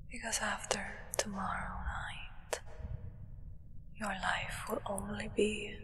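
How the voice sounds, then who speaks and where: Slow whispering vampire acolyte, played by a woman. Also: medium pitch, fairly bright tone. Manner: solemn, ceremonial. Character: solemn, reverent female acolyte of a vampire sovereign. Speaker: ASMR roleplay performer. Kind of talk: whispered ASMR roleplay. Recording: long reverb.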